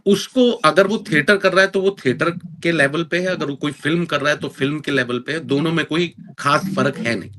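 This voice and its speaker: A man's voice: on the high side, a little fast, few pauses, sharp tone. A man in his thirties who is an actor, answering interview questions earnestly with an Indian accent, mixing in English phrases and using many fillers. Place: lives in London.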